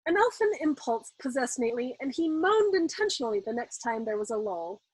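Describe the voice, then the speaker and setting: A woman reading a novel aloud as a storyteller, in expressive narration. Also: high voice, brisk, slightly rough, no room sound, rough recording. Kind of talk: expressive audiobook-style narration.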